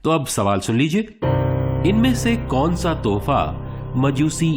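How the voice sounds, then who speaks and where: A man playing a suave quiz show host in a radio play, formal and theatrical, with dramatic pauses. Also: fairly high pitch, big pitch swings, loud music behind the voice. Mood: showy, polite.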